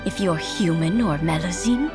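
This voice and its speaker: A woman voicing a kindly, grateful old lady in scripted game dialogue, tender and deliberate. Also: lowish voice, over music, close to an everyday voice. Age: older.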